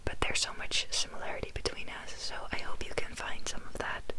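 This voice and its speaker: A young adult woman from the Netherlands, a native Dutch speaker, whispering an ASMR chat from her bed, soft and meandering with many pauses and ums. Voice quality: full whisper, unvoiced. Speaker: ASMR YouTuber. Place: sitting on her bed indoors. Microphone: close mic, phone notes in hand.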